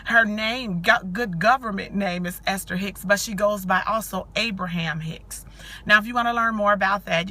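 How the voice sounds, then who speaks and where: A woman in her forties talks to her live-stream audience with a hoarse, scratchy voice, worn down from cheering. Her tone is still bright and forward. She chats loosely and greets viewers by name as she goes. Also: mid-range voice. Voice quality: scratchy, growly. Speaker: live-stream host and coach for mothers. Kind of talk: casual motivational live stream.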